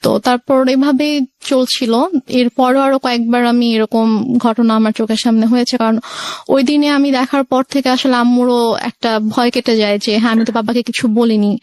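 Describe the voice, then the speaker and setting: Higher-voiced young Bangladeshi woman, dry sound. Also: fairly even intonation, normal speed, a little mellow, no echo. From Sirajganj, Bangladesh. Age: 20s. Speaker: guest telling her life story. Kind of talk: personal storytelling in a radio interview.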